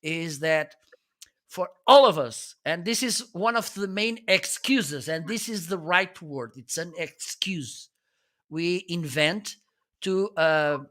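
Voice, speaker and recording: Slow, bright-voiced man. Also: a little high. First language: Portuguese. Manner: earnest, checks in with "okay?". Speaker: live show host. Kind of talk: casual live-stream chat.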